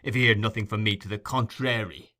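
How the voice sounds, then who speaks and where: A man voicing a brutish robber in an audiobook, gruff, surly and threatening. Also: lowish voice, steady tone, a little fast, sharp tone.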